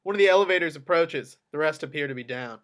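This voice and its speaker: Slightly high-voiced man reading stage directions. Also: fast talker, fairly bright tone. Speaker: action-description narrator.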